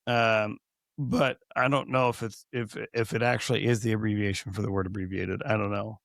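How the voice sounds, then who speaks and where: A man co-hosting a podcast, hoarse from recently losing his voice, chatting casually and rambling through many restarts. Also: lowish voice, little pitch movement, brisk, a bit bright, no echo, clean studio sound. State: hoarse, recovering from lost voice. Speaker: podcast co-host.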